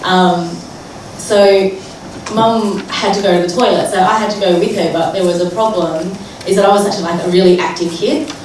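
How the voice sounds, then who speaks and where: A woman's voice: lowish voice, steady tone, normal speed, a little mellow. A woman interpreting for a deaf speaker in the first person, chatty and full of fillers. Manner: chatty, filler-heavy, amused. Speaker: sign language interpreter voicing a deaf speaker. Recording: echoey hall, far from mic, clear noise.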